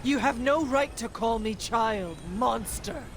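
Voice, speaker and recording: An actress playing a solemn warrior heroine in a video game, speaking in a measured, formal, stoic way. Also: female voice, on the high side, background noise. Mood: defiant.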